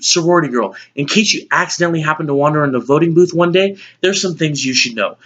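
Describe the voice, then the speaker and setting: A man's voice: fairly high pitch, fairly bright tone. A man playing a blunt, exasperated middle-aged news anchor in an emphatic, lecturing dramatic monologue. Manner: emphatic, lecturing, list-driven delivery. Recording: dull lo-fi audio.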